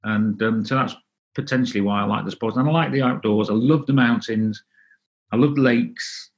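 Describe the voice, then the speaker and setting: A middle-aged man from North Wales, Welsh as his first language, answering interview questions in a halting, casual way with many restarts. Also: medium pitch. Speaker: interview guest.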